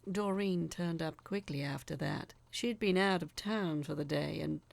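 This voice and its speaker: A woman's voice: deep voice, a little slow, vocal fry. A woman reading a first-person story as a dramatised narration.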